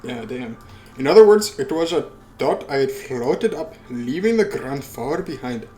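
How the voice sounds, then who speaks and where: A man's voice: medium pitch, expressive, measured pace, warm tone, soft background music. A man who hosts a let's play reads a visual novel aloud and adds casual asides with swearing.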